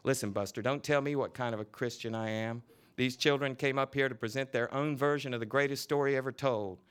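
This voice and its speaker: A man reading aloud and voicing a fiery middle-aged woman who scolds in a combative, shrill way. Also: steady tone, a little fast, fairly bright tone, clear, resonant tone, close to an everyday voice. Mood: indignant scolding.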